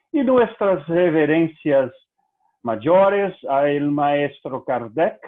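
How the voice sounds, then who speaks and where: A Brazilian man with a Portuguese accent lectures in Spanish at a very slow, careful pace. His voice sits a little high. The audio is narrow and tinny, like a phone call. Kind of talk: formal lecture over video call.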